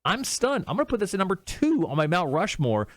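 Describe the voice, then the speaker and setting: A man co-hosting a podcast, chatting casually about movies in an informal, emphatic way with some drawn-out words. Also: sits high, sing-song, dry recording. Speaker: podcast host.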